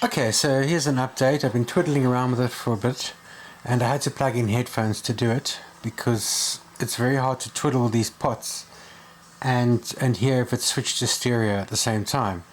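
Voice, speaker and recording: A man narrates a casual repair video. His voice is mid-pitched, and he thinks aloud informally as he works. Some background noise sits behind the speech. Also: fairly even intonation, moderate pace. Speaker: video host and radio repair hobbyist. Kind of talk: casual hands-on repair vlog.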